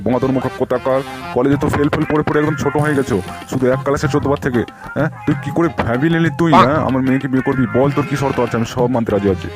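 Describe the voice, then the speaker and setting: A man's voice: medium pitch, very fast, lightly acted. A man voicing a blustering, colloquial middle-aged headmaster and father in an amateur comic cartoon. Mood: angry, scolding. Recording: music behind the voice.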